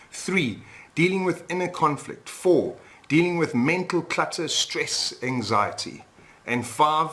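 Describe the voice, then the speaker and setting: A man's voice: fairly high pitch, pushed and bright. Upbeat South African man presenting. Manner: upbeat, peppers talk with "all right?". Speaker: webinar host and retreat leader. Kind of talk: presenting a sales webinar.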